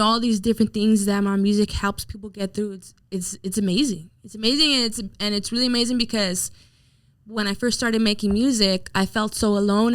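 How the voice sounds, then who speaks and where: A woman's voice: medium pitch, no room sound. A woman in her thirties, a musician guest in a casual interview, telling her story candidly and with animation. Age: 30s.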